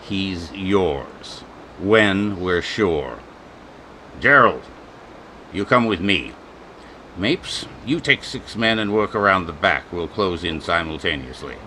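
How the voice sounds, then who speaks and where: Actor as a forceful, stern posse major. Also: male voice, low voice, animated, takes it slowly, slight background noise, heavily acted. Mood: commanding, measured. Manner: authoritative, clipped military orders. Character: stern, commanding major leading a western posse. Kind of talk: old-time radio western acting.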